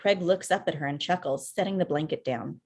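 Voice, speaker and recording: A woman's voice: sits low, fairly quick, a little mellow, husky. A woman reading scripted screenplay stage directions as narrator on a video call. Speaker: filmmaker, stage-direction reader.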